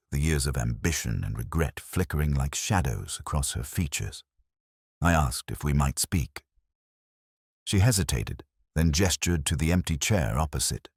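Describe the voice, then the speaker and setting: A man reading a scripted first-person detective story in a measured, literary, melancholy manner, with a gravelly, husky voice. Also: very deep voice, no echo, studio quality. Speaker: narrator.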